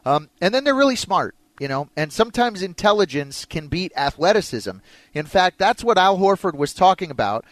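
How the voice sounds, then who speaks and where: A man's voice: sits high, big pitch swings, moderate pace, a bit bright. An American man hosting a sports talk radio show, discussing games in a conversational way.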